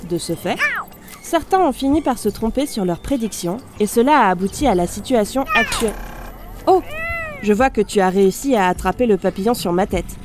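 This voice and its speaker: A woman playing a damaged robot unit in audio fiction, speaking formally and precisely, polite, analytical and gently naive. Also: medium pitch, expressive, a little fast, over music, lightly acted. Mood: gentle, musing. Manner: formal, clipped system announcements and precise explanations. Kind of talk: scripted audio fiction monologue.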